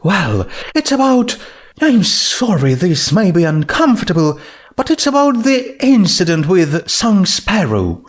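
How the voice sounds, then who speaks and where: A man's voice: high voice, huge pitch swings, a little slow, pitched above the performer's own voice, full character voice. A man voicing a dramatic, genteel female pony shopkeeper with a theatrical manner in a story reading. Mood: hesitant, delicate. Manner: theatrical, says "darling". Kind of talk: fan fiction character reading.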